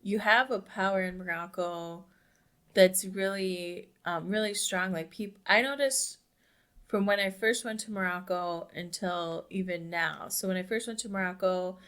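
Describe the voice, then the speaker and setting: A woman's voice: medium pitch, measured pace, a bit bright, clean tone. A young adult woman co-hosting a casual podcast discussion, talking emphatically and dropping "like" in very often. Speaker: podcast co-host.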